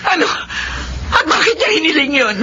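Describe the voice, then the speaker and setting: A woman's voice: high-pitched, big pitch swings, moderate pace, very noisy. A woman playing a distraught, stubborn mother in a radio soap, wailing and pleading melodramatically. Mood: bitter. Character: distraught, stubborn mother fighting for her dying son. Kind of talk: radio soap drama acting. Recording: dull audio under clear music.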